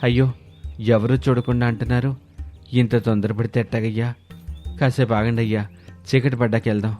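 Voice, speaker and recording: A man's voice: mid-range voice, little pitch movement, normal speed, warm tone, soft background music, natural voice. A man voicing a poor, humble but crafty village orphan who speaks deferentially and pleadingly in a moral story.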